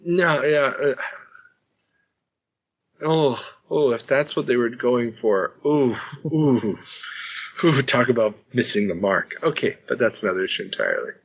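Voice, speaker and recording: A man's voice: mid-range voice, animated, measured pace. Chatty self-mocking male podcast host. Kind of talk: casual chat. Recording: slightly dull lo-fi podcast audio.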